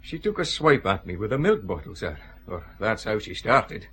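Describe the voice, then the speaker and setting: An actor playing a deferential police sergeant in a radio drama, with a hesitant, apologetic manner. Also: male voice, lowish voice, sing-song, normal speed, fairly bright tone. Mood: sheepish, uneasy. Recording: faint background noise, ambience.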